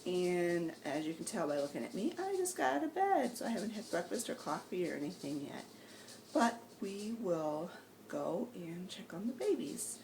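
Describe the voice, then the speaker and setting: A woman vlogging casually at home with a raspy, hoarse voice from allergies, chatty and rambling with plenty of ums. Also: lowish voice, big pitch swings, normal speed, darkish tone, reverberant, recorded from a distance, a little hiss or hum. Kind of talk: casual rambling vlog chat. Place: home and outdoor animal pens. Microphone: handheld camera, self-filmed.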